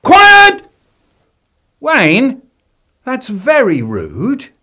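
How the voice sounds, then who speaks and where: A man's voice: extremely high pitch, wildly swooping pitch, drawn-out words, pushed and bright, voice pushed up, full character voice. A man voicing a stern, prim woman teacher scolding a class.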